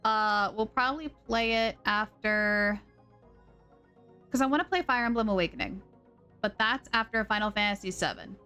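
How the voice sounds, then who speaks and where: A woman streaming a game, chatting casually and rambling as she thinks out loud. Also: mid-range voice, normal speed, smooth, soft background music. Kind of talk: casual live-stream chat.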